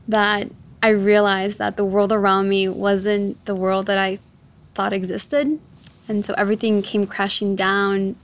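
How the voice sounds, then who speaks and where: A woman's voice: mid-range voice, clear, resonant tone. A woman in her twenties from Ecuador who grew up in Chicago, telling personal stories as an interview guest. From born in Ecuador, raised in Chicago from age seven. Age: 20s. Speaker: interview guest, ocean activist.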